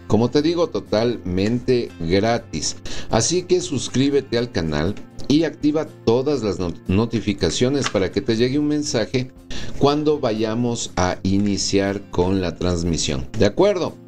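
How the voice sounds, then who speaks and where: A man's voice: lowish voice, expressive, unhurried, soft background music. A man in his forties giving a weekly tarot horoscope, often checking in with the listener through short tag questions. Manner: warm reassuring, checks in with "¿sí?" and "¿ok?". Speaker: tarot reader. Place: small indoor room with plants and wood blinds.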